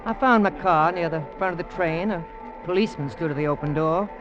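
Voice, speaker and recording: An actor playing an earnest American oil engineer with an American accent, telling his adventure in measured old-time radio drama style. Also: fairly high pitch, music in the background, lightly acted. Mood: earnest, suspenseful. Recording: muffled vintage radio recording.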